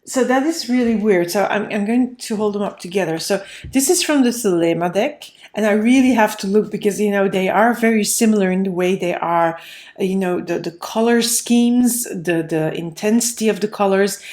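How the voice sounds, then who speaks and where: A woman's voice: mid-range voice, normal speed, a little mellow. A woman giving a casual tarot reading to viewers in a rambling, conversational way, repeating phrases for emphasis. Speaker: tarot reader, host. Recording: roomy, echoey room sound.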